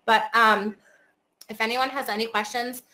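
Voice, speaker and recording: A woman in her thirties, slightly hoarse and losing her voice, giving casual webinar advice as an herbalist and esthetician over a video call. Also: fairly high pitch, fairly even intonation, moderate pace, sharp tone, rough recording. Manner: casual, chatty, many fillers. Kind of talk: webinar presentation. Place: indoor room by a window.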